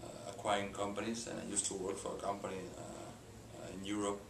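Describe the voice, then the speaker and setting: Low, gravelly Spanish man, halting. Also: completely flat delivery. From Spain. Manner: halting, many filler "uh"s and restarts. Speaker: company president, interview guest. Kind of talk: hesitant unscripted interview answers. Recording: echoey room with background hiss.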